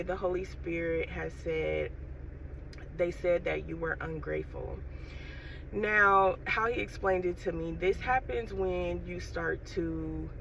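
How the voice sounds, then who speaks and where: Stuffy, gravelly woman giving a spiritual message. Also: lowish voice, fairly bright tone. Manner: unhurried, with fillers like "you know" and "um". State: scratchy throat and stuffy nose. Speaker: faith vlogger. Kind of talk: casual spiritual message to viewers. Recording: dull, distant lo-fi recording with faint noise.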